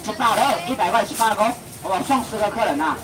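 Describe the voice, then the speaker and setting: Young Taiwanese auctioneer calling prices. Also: male voice, high-pitched, expressive, fairly quick. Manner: loud sing-song price calling, bantering with the crowd. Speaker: seafood auctioneer. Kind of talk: rapid market auction patter. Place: crowded outdoor seafood market under tents. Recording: distant voice over loud market noise.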